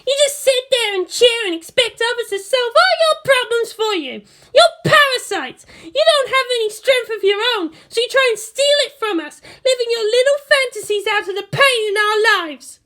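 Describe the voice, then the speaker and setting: Casting: proud, scornful teen girl pilot, very high and piercing, snarling indignant rants for a story reading. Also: animated, moderate pace, hardly any pauses, fairly bright tone. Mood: disgusted, furious.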